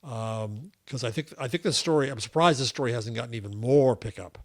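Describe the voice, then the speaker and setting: A man's voice: medium pitch, brisk. An older man co-hosting a podcast in casual chat, wry and digressive, telling stories. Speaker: podcast co-host.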